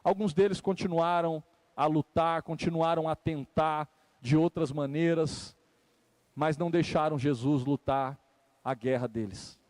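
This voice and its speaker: A man's voice: on the high side, unhurried, a little mellow, dry recording. A Brazilian man preaching a church sermon, repeating phrases for emphasis and speaking directly to his listeners in a conversational way. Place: live church service.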